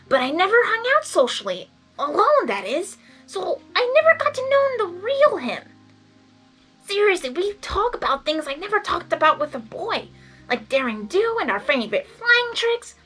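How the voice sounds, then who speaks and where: A woman's voice: extremely high pitch, big pitch swings, normal speed, pushed and bright, faint music behind, voice pushed up, full character voice. A woman voicing a brash, tomboyish young female pegasus who sounds a little bashful.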